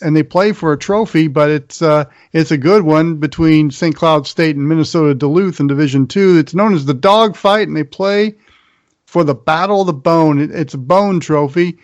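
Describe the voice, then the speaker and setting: A man's voice: fairly high pitch, moderate pace, no room sound, highs cut off. An American man hosting a sports show, running through college football news and previews. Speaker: sports radio show host. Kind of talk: sports round-up, partly scripted narration.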